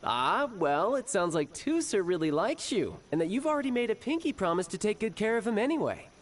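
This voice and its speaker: Cocky, charming young game warrior, lively, teasing, music under. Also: male voice, high-pitched, fairly quick, a little hiss or hum. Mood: gracious. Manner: smooth, teasing, mock-formal. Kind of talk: game cutscene voice acting. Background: background music.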